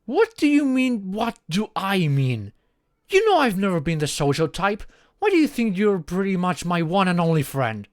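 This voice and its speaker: A young man playing a shy, anxious friend in an intimate audio roleplay, speaking haltingly with sighs and murmurs. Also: sits high, big pitch swings, dry recording, clean studio sound. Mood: defensive, agitated. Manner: halting, sighing, breathy murmurs rising to tearful outbursts.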